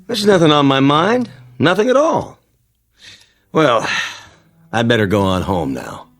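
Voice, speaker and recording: A man acting in a radio drama as a sly, suspicious coworker who talks in an insinuating, deliberate, falsely casual way. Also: mid-range voice, very animated, no echo. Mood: veiled menace. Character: sly, suspicious male coworker probing his neighbours with veiled menace.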